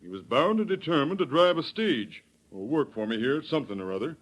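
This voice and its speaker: Folksy stage-line boss, highish voice, lively swings, Western American accent, excitable, vintage radio drama. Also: male voice, a little fast, poor audio. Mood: curious, surprised. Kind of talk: 1930s radio western acting. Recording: old 1930s radio recording.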